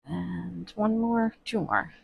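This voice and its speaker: A woman talking slowly and chattily as she works at her table, full of ums, her voice often dropping to a murmur. She sniffles now and then from allergies. The sound is dry and close, with no room echo. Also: fairly low pitch, gravelly, slightly rough, dull audio. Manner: chatty, many fillers, quiet and murmured while working. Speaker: reborn doll artist. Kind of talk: casual crafting tutorial vlog. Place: indoors at a worktable.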